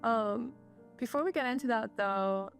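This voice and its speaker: A woman's voice: a little high, a little slow, faint music behind. A young woman interviewing an artist in a gallery, in a friendly, upbeat conversational manner. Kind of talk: casual interview. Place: art gallery.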